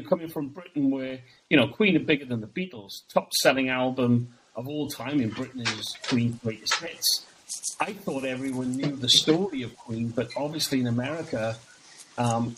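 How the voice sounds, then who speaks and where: A man's voice: mid-range voice, a bit bright, slight background noise, poor audio. A man from Wales, chatting casually as a guest on a podcast and laughing between his stories. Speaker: guest, tribute band singer.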